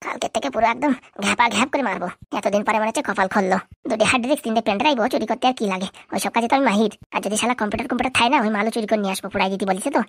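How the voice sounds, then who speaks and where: A high-voiced, boastful young crook played by a woman in a comedy cartoon. The boy rattles along very fast in brash slang, lazy and cocky, on a dry close recording. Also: no room sound.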